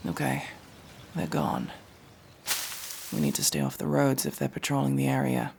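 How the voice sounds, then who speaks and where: A woman playing a gruff, guarded, world-weary elf in a fantasy ASMR roleplay, curt and bossy with hushed delivery. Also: a bass voice, level tone, moderate pace, exaggerated voice. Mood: bitter, warning. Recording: faint background noise with ambience.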